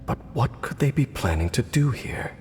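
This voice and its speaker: A man playing a stoic, serious warrior guide in a video game, speaking formally and in a measured way. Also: mid-range voice, lively intonation, long reverb tail, very noisy, close to an everyday voice. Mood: grave, composed.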